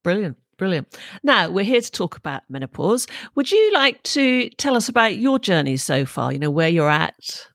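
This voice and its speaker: A woman's voice: medium pitch, very animated, moderate pace, fairly bright tone, no room sound, clean studio sound. A woman hosting a podcast interview, asking encouraging questions. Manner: friendly, encouraging prompts. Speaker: podcast host.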